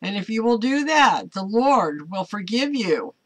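An older American woman speaks slowly and earnestly, as an online ministry host would. Her delivery is halting and informal, part chat and part preaching, with a mid-pitched voice. She is recorded indoors in a small home office. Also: big pitch swings. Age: older, 60s or 70s. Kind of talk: informal devotional talk to camera, part preaching.

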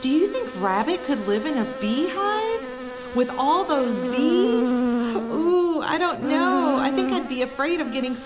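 A mature woman hosts story time for young children, with a high voice and big swings in pitch. She is expressive and questioning, and the sound is slightly dull. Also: unhurried, background noise. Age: middle-aged or older. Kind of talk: storybook reading and chatting to children.

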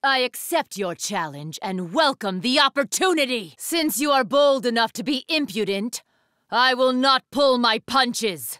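A woman's voice: high voice, bright, forceful tone, clean tone, no room sound, studio quality, exaggerated voice. A woman voicing a proud, fierce young female student leader in an anime fighting game, with a commanding, haughty, formal delivery. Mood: haughty challenge.

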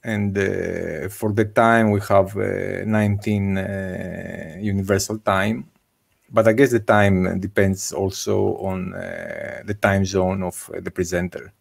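A man in his thirties talks slowly and haltingly on a video call, filling gaps with uhs. His voice is gravelly and a little low, picked up by a desk mic in a room at home. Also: level tone. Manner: hesitant, many filler uhs. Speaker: meetup organizer. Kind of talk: casual video call discussion. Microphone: desk microphone on video call.

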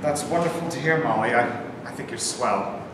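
A man's voice: medium pitch, normal speed, lightly acted. A man in his twenties playing an earnest, hot-headed young soldier and baseball fan in a staged play reading. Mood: shy, tender. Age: 20s. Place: large bare indoor room. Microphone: far camera. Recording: echoey hall, distant camera microphone, faint noise.

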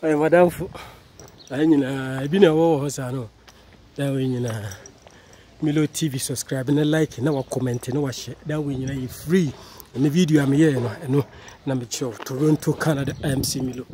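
A man's voice: mid-range voice, fairly even intonation, normal speed, dark tone. A man with a Ghanaian accent chats casually and jokily to his viewers, talking into a handheld phone as he walks outside. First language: Twi. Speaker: vlogger, channel host. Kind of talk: casual walking vlog to camera. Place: outdoors, walking around a housing complex. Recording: noisy outdoor phone recording.

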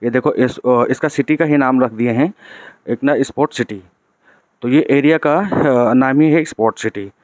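A man's voice: medium pitch, normal speed, darkish tone. Chatty man narrating a road trip. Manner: chatty, repeats "theek hai" as a tag. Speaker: travel vlogger. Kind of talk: casual vlog narration.

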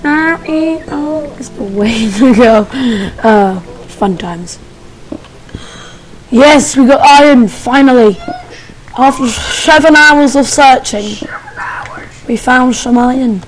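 A young woman giving casual, excitable commentary while playing a game, squealing and making playful sing-song noises. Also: high voice, takes it slowly, pauses often, slight background noise, poor audio. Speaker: gamer. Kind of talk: casual let's play commentary.

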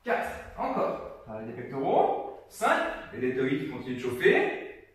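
A young man leading a home workout class, counting reps aloud and giving steady encouraging cues as he demonstrates. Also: medium pitch, a little slow. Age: young adult. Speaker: fitness coach. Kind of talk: guided workout instruction. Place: gym studio, indoors. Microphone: far camera. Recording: very echoey room, voice from far off.

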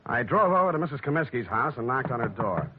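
An actor playing a tough, wry American private detective in clipped, sardonic 1940s radio style. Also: male voice, mid-range voice, very animated, quick pace, close to an everyday voice. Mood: dry, brisk. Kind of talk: 1940s radio acting, hard-boiled narration. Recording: dull noisy old radio recording.

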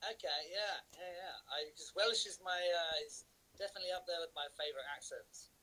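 Man, bright slightly husky voice, jokey rambling host, phone held at arm's length. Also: high-pitched, a little fast, low-quality recording. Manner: jokey, rambling, lots of fillers and restarts. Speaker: livestream host. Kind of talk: casual chat on a live stream. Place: indoor room with patterned wall hanging. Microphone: phone camera at arm's length.

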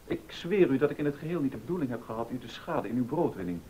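A male actor playing a polite, conciliatory gentleman in a docudrama, courteous and placating. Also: medium pitch, lively intonation, very fast, a little hiss or hum, lightly acted, rough recording. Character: polite, conciliatory gentleman patron, increasingly uneasy. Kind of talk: Dutch docudrama acting.

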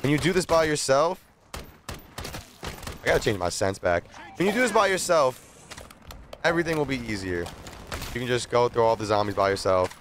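A young man streaming from his desk, close on the mic, with a middling pitch. He explains the game in casual slang and repeats his points, while clear game noise and gunfire run behind him. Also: sing-song, moderate pace, long pauses, fairly bright tone. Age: young adult. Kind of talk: casual gameplay commentary and tutorial. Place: small streaming room at a desk. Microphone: close desk microphone. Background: video game gunfire and ambience.

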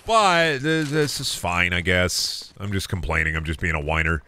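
Low, bright-edged male streamer, sick voice near cracking, rambling, self-deprecating, headset at home. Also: animated, moderate pace, a little hiss or hum. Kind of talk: casual gameplay stream chat. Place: home room at a desk.

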